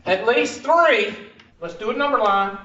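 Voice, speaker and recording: A man's voice: extremely high pitch, expressive, unhurried, sharp tone, a little hiss or hum. A man teaching a math class, working problems aloud and questioning students in a classroom. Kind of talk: classroom lesson. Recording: echoey classroom, dull far-off sound.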